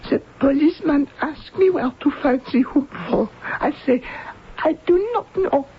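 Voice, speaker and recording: An actress playing a terrified, reluctant informant in a radio drama, halting and whimpering. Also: female voice, high-pitched, lightly acted. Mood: frightened. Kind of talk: vintage radio drama acting. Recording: dull, noisy old radio recording.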